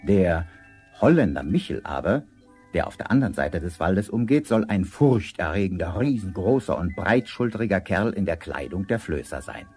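Deep-voiced man narrating an old fairy tale. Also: big pitch swings, normal speed, darkish tone. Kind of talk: scripted fairy-tale narration, 1970s German radio play. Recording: vinyl record transfer with music.

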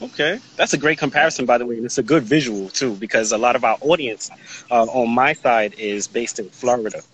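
A man's voice: mid-range voice, fairly quick, fairly bright tone, a little hiss or hum, low-quality recording. A man in his forties hosting a live video call chat, upbeat and laughing often, talking into a phone held at arm's length outdoors. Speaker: host. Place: outdoors in a sunny yard.

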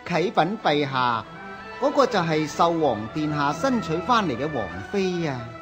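An actor playing a fawning, scheming palace eunuch in a historical radio drama, obsequious and coaxing. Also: male voice, on the high side, sing-song, measured pace, music in the background. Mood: sly, coaxing.

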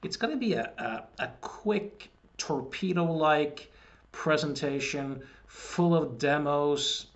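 A man in his forties, a hypnotist, recording a measured promotional video message at his desk in a small wood-panelled room, explaining his ideas and often repeating words as he restarts. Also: a little high, a bit bright. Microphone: webcam at desk. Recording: dull lo-fi webcam audio.

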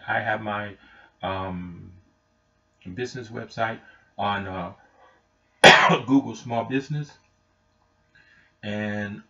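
A man's voice: on the low side, pushed and bright. A middle-aged man giving an informal, plain-spoken explanation in a matter-of-fact way. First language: Spanish is not his natural language; English speaker. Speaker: taxi driver explaining his service. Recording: muffled webcam mic, distant.

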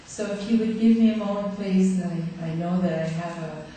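A woman's voice: mid-range voice, moderate pace, mellow. A middle-aged woman guest speaker giving a heartfelt address at a spiritual service, gesturing as she talks. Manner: heartfelt, talks with her hands, laughs easily. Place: hall stage with curtains. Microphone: podium mic, far camera. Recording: echoey hall from far off, faint noise.

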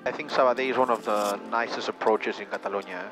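A man's voice: fairly low pitch, fairly even intonation, quick pace, low-quality recording. Male pilot on a cockpit headset. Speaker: pilot and vlogger. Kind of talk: cockpit commentary and radio calls. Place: small light aircraft cockpit in flight. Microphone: aviation headset boom mic. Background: music, engine and radio sounds.

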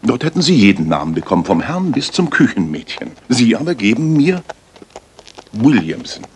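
A man plays a haughty gentleman detective from a 1980s radio drama. His delivery swoops widely, precise and lecturing, full of theatrical self-assurance. Clear noise sits on the old recording. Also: medium pitch, sing-song, normal speed, lightly acted. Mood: scolding, sardonic. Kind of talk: German radio play acting, 1980s.

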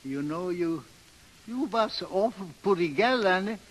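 Old Swedish barge captain: high-pitched, slow, broken English, fond father, vintage radio drama. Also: expressive, poor audio. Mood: fond, coaxing. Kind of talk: 1930s radio drama acting. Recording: old 1930s radio recording.

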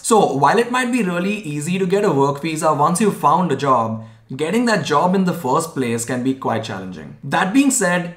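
A young man in a talking-head vlog, clearly and earnestly walking through his points one by one, recorded indoors in front of a camera. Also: fairly high pitch, a bit bright, reverberant. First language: English. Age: young adult. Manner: clear, earnest explainer, lists points in order. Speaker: vlogger. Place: small indoor room by a window. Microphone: camera framed at chest level.

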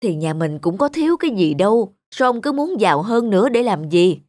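A woman's voice: medium pitch, very animated, brisk, a little mellow, dry recording, studio quality, natural voice. A woman voicing a rich landlord's sensible, disapproving wife in a cartoon folk tale, chiding and reasoning.

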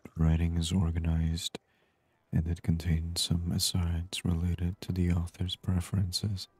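A man doing soft-spoken ASMR game commentary, murmuring and unhurried as he reads aloud. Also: low-pitched, completely flat delivery, breathy edge, no echo. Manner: murmured, unhurried, reading on-screen text aloud. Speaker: gaming channel host.

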